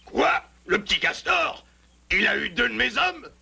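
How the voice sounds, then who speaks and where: A man's voice: very high voice, big pitch swings, measured pace, gravelly, pushed and bright, husky, heavily acted. A man voicing a pompous, irritable lion chief in a French cartoon, imperious and menacing. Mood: outraged, commanding. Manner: blustering, imperious. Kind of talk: French dubbed cartoon villain acting.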